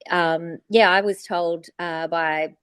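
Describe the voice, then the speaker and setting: A woman's voice: lowish voice, fairly even intonation, slow pace, a bit bright, clean tone, no echo. Australian woman chatting, close dry sound. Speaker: podcast co-host, voice actor. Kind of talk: casual podcast chat.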